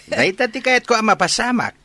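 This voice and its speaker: Radio drama villain male actor, smug schemer, bright edgy voice with wildly swinging pitch. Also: dry recording, exaggerated voice.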